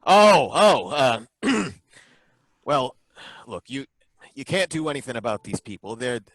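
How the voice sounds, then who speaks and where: An actor playing a suspicious, bumbling license inspector who asks halting, probing questions in a live old-time radio drama. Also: male voice, medium pitch, sing-song, a bit bright, no room sound, full character voice.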